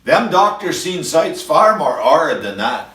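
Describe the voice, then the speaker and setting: A man's voice: a little high, very animated, unhurried, bright, forceful tone, echoey room, recorded from a distance, pitched above the performer's own voice, heavily acted. A man doing a brusque husband. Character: plain-spoken northern English husband.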